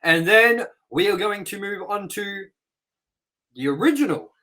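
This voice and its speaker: A man hosting a review show, rambling and excitable as he wraps up the episode with plugs. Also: sits high, unhurried. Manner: rambling and excitable, with sound-effect noises. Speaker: host. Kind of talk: casual episode wrap-up with plugs.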